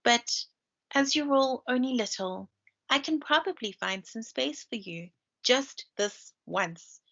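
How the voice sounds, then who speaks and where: A woman's voice: fairly high pitch, expressive, slow, creaky, sharp tone, pitched above the performer's own voice, full character voice. Woman voicing a fussy, grumbly lady hedgehog, lo-fi. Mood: reluctant. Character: busy, grumbly but soft-hearted female hedgehog. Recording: dull lo-fi screen-share recording.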